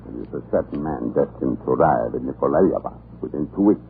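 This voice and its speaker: An actor playing a cruel, scheming prison-island commandant in an old radio drama, gravelly, deliberate and menacing. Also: male voice, lively intonation, exaggerated voice. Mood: sly menace. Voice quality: very deep, gravelly. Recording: muffled, noisy old radio recording.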